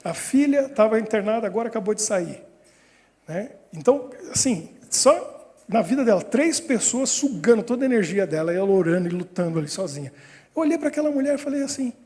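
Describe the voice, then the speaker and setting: A man, a pastor giving a sermon with lively storytelling and frequent chuckles. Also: sits high, a little fast, long reverb tail. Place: church hall.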